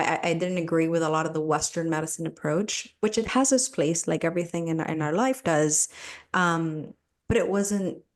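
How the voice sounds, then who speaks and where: A middle-aged woman from Cuba speaks as a podcast guest. Her voice sits low, and she circles her thoughts with fillers and restarts, laughing now and then. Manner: reflective, frequent fillers and restarts, laughs easily. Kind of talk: conversational interview.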